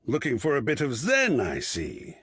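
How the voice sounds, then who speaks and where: Flamboyant, swooping, fussy art curator. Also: male voice, medium pitch, huge pitch swings, moderate pace, fairly bright tone, breathy edge, no room sound, clean studio sound, exaggerated voice. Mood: dreamy, savoring. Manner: florid, fussy, swooping, savoring words. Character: effusive, refined male art curator, an artwork come alive. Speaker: gallery curator character. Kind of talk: theatrical video game voice acting.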